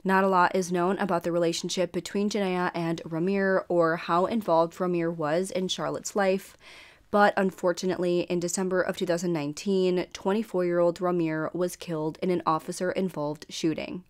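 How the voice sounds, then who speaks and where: A woman's voice: fairly low pitch, flat delivery, clear, resonant tone. A young woman in her twenties hosting a true crime show, reading her script to camera in a small room at home, her voice a little scratchy and stuffy from a dry throat. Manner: earnest, measured storytelling.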